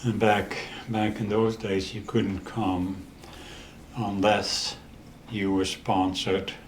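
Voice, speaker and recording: A man in his eighties with a Dutch accent, telling stories in a casual interview, halting with many restarts and pauses. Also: fairly low pitch, flat delivery, echoey room, slight background noise. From the Netherlands. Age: 80s. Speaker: interview guest.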